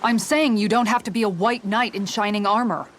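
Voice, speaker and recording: A woman's voice: mid-range voice, steady tone, brisk, fairly bright tone, slight background noise, natural voice. An actress playing a loyal young woman fighter in a video game, talking in a firm, earnest and plain-spoken way. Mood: firm, caring. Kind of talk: dramatic video game voice acting.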